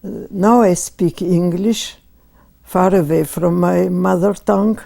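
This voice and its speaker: Interview subject, woman in her 80s, Lithuanian accent, halting fillers, very dark tone. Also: on the low side, steady tone, vocal fry. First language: Lithuanian and Russian. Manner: slow and hesitant, many pauses and fillers. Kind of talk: oral history interview. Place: museum interview room.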